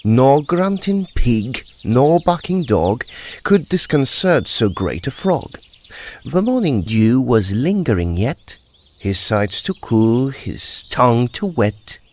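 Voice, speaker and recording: A man giving a theatrical, measured recitation of verse. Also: medium pitch, very animated, darkish tone. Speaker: poetry reader. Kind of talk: dramatic poetry recitation.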